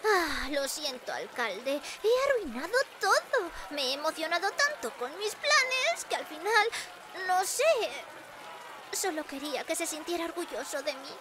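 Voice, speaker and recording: A woman's voice: high voice, sing-song, unhurried, very noisy. A woman with a Spain accent voicing an eager, bossy, naively authoritarian female dog secretary in a cartoon parody. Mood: apologetic. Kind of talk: animated parody fandub acting.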